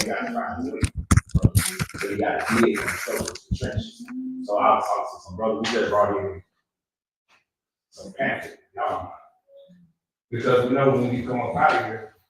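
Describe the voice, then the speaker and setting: A man speaking off the cuff at a community event as a former prisoner, earnest and preaching, in halting phrases. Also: medium pitch. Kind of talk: impromptu heartfelt public talk. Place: live community event in a reverberant room. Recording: echoey hall, distant mic, faint noise.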